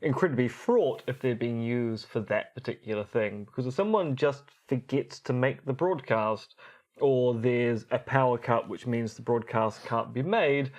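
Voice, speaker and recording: A man's voice: mid-range voice, normal speed. A man co-hosting a podcast, explaining things in articulate, rather formal and academic phrasing. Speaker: podcast co-host.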